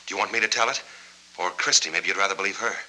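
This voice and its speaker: An American actor in a 1940s film noir, playing a cornered, defiant war veteran who bargains insistently in clipped, hard-boiled lines. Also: male voice, low voice, very fast, bright, forceful tone, rough recording. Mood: urgent, bargaining.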